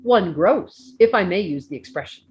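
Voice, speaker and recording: A woman voicing an elderly male hobbit making a jovial, deliberate birthday speech in a storybook reading. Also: lowish voice, animated, darkish tone, muffled recording, close to an everyday voice. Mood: ceremonious, measured. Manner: oratorical, deliberate.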